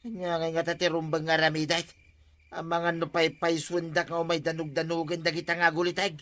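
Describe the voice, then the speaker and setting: A man's voice: a little high, completely flat delivery, a little fast, bright, forceful tone, a little hiss or hum. A man with an Ilocano accent playing a hapless, complaining village husband in a comedy drama. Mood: groaning, rattled.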